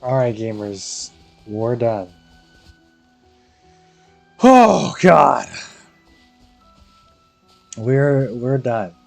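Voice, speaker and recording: A man's voice: mid-range voice, very animated, extremely slow, creaky, pauses often, darkish tone, faint music behind. A tired man chatting casually on a game livestream, rambling and slangy, repeating himself as he goes. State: tired after very long stream.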